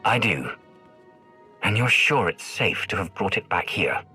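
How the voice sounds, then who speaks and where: Forceful, very raspy terse man. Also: lowish voice, big pitch swings, measured pace, soft background music, heavily acted. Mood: wary. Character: terse, forceful, wary male adventurer. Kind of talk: video game cutscene acting.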